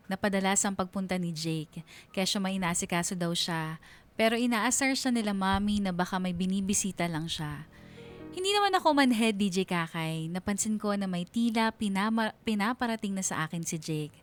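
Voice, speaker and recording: Woman acting lovestruck storyteller, medium-pitched voice. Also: animated, moderate pace, soft background music, natural voice. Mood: giddy, confiding. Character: lovestruck, playful young woman telling her story. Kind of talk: first-person radio drama narration.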